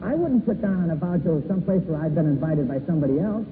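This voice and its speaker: A man's voice: fairly high pitch, expressive, rapid-fire. A man testifying as a witness, plain-spoken and a little defensive, on an old 1940s hearing recording. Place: large echoing hearing room. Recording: echoey, tinny, noisy 1940s hearing recording.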